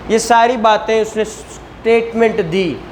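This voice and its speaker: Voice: mid-range voice, slow pace, slight background noise, poor audio. A law lecturer with a Pakistani accent explains in a conversational, didactic way, repeating points and asking rhetorical questions.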